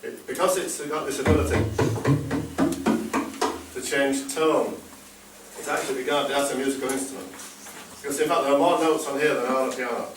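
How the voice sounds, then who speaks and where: A man's voice: mid-range voice, steady tone, fairly quick, pauses often, over music. A man, a drummer, chatting easily between pieces on a live stage, with hesitant ums and dry humour. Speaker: bodhrán drummer performing. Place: live stage in a large hall. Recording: echoey hall, far mic, background noise.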